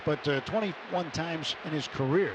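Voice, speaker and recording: A man with a slightly high voice calls baseball play by play for radio. He is a live commentator working from a stadium booth, with clear background noise of the ballpark behind him. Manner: measured between plays, excited on big plays.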